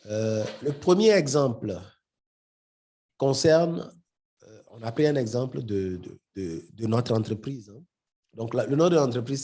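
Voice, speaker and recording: A man's voice: mid-range voice, a little mellow, husky. A middle-aged man, leading a live marketing webinar, hesitant and often repeating words. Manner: addresses the audience directly. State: has a head cold. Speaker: webinar trainer.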